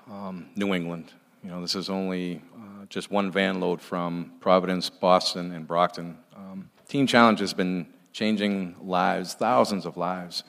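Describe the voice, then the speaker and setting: A man speaking earnestly at a church, giving an informal testimony with emphatic repetition. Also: low-pitched.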